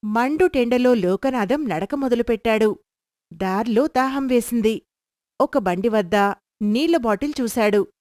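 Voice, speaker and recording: A woman narrating a storybook tale for children in a lively storytelling manner. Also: fairly high pitch, measured pace, dry recording, studio quality.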